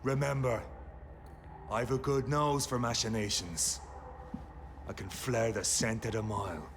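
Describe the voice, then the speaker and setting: A man's voice: mid-range voice, steady tone, unhurried, a little hiss or hum, natural voice. A man playing a suspicious, pious, folksy vampire hunter in a video game, talking in threats. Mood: menacing, accusing. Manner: threatening, speaks in hunting metaphors. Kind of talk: video game dramatic acting.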